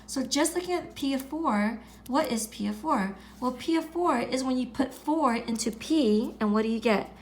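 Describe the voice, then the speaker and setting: Woman math teacher, raspy sick voice, a bit high, patient and repetitive, faint hiss in the recording. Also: normal speed, few pauses. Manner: patient, repeats each step, clears throat often. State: raspy from illness. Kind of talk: screen-recorded math lesson.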